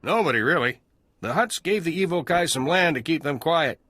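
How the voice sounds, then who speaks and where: A man's voice: fairly high pitch, lively intonation, moderate pace, bright, forceful tone, dry recording. A man playing a breezy, cynical salvage dealer in a video game, with casual, wry, chatty delivery. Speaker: salvage trader character.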